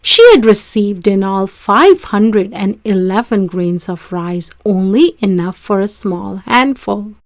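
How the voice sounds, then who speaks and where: A woman reading a children's story aloud in an expressive, deliberate storytelling way. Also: mid-range voice, no room sound, studio quality. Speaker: storybook reader.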